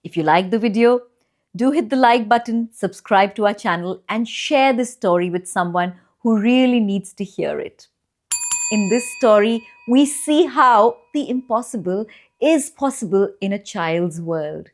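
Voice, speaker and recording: A woman reading a children's bedtime story aloud, with expressive, deliberate phrasing and drawn-out pauses for young listeners. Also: medium pitch. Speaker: children's storyteller and channel host.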